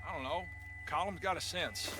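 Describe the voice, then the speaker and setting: An actor playing a cocky, excitable gunslinging henchman in a Western game, with a taunting manner. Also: on the high side, moderate pace, close to an everyday voice. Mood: uneasy chat. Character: cocky, excitable male outlaw henchman with gun. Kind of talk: cinematic Western game acting. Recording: loud music over game dialogue.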